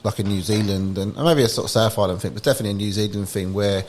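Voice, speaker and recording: A man, a podcast guest chatting casually and telling lively stories that act out little exchanges. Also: lowish voice, racing, slight background noise. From United Kingdom. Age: 40s. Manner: lively storyteller who acts out quoted exchanges. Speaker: podcast guest, business founder. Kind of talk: casual interview chat.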